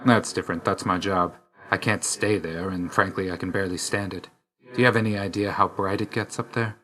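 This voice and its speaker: A man playing a grim, aloof god of death in a video game, terse and deadpan, stern yet grudgingly loyal. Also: low voice, a little fast. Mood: dry, reserved. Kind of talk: scripted game character lines.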